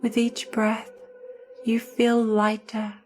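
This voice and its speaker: A woman narrating a guided meditation in a soothing, hushed manner, leaving long pauses between short phrases. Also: on the high side, completely flat delivery, faint music behind.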